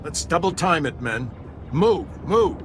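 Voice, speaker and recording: A man's voice: a little high, big pitch swings, measured pace, over music. An actor voicing a battle-weary male army sergeant with clipped military delivery in a sci-fi video game. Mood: urgent.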